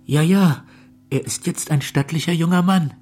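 An actor playing a kindly, courteous older gentleman in a literary radio drama, fond and proud, speaking deliberately. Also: on the high side, faint music behind, natural voice.

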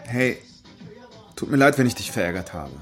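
A man's voice: mid-range voice, lively intonation, moderate pace, a bit bright, faint music behind, natural voice. An actor playing a teasing, earnest young man in dialogue from a literary radio play. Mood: placating. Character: Friedrich, bantering, caring young man, second-generation immigrant in Germany.

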